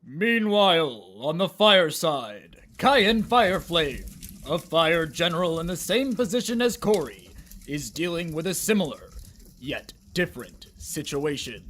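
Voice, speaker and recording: A man's voice: on the high side, very animated, unhurried, fairly bright tone. A man reading scripted storybook narration as the narrator of a fantasy tale. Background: music.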